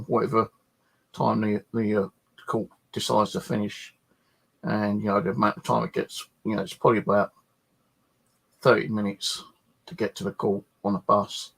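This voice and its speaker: A man's voice: lowish voice, creaky, fairly bright tone, poor audio. A man chatting casually and ramblingly about his own life, grumbling and swearing in a colloquial way. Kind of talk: casual rambling personal chat.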